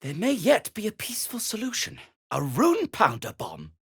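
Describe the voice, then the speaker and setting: An actor playing an earnest, fussy, melancholy gnome inventor in a video game, with a hesitant, wordy and old-fashioned polite way of talking. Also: male voice, sits high, wildly swooping pitch, normal speed, a bit bright, breathy edge, dry recording, studio quality, heavily acted. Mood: pleading, troubled. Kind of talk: video game character dialogue.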